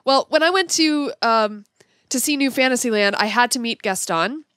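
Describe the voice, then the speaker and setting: A woman co-hosting a casual panel chat, excitable and given to gushing exclamations. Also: a little high, moderate pace, dry recording, clean studio sound. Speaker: podcast co-host.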